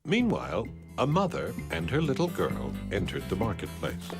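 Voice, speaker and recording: A man narrating an animated storybook tale in a wry, deadpan, scripted storyteller's manner. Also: fairly low pitch, normal speed, vocal fry. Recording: music behind the voice. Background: music.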